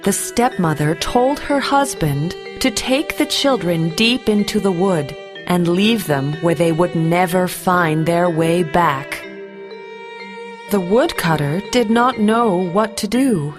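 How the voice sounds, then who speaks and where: A woman storyteller reading a scripted bedtime fairy tale for children in a measured, expressive way. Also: fairly low pitch, music in the background.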